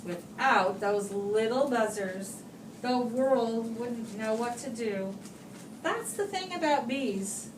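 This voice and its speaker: A woman reading a children's picture book aloud like a teacher, with expressive, deliberate phrasing and pauses for young listeners, recorded at a distance in a room. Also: on the high side. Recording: roomy, distant microphone, clear background noise.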